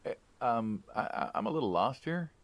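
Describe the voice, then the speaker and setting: A man playing a wry, needling mob hitman in a game, gravelly and probing, like an old friend asking questions. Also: medium pitch, very animated, moderate pace. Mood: puzzled, amused. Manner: wry, probing, drawling sarcasm. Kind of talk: naturalistic video game dialogue.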